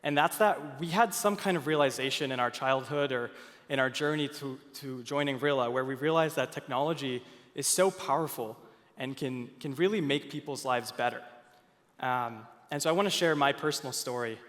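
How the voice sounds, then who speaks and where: A young man from Finland speaks on a conference stage at a middle pitch. He is earnest and stumbles into fillers and restarts often. A long reverb trails his voice through the large hall. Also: normal speed, fairly bright tone.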